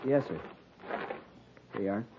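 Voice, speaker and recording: A man's voice: fairly low pitch, animated, unhurried. A man playing an earnest, respectful young cavalry lieutenant in a 1950s radio drama, formal and deferential in his address. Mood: respectful, dutiful. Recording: muffled, noisy 1950s radio recording.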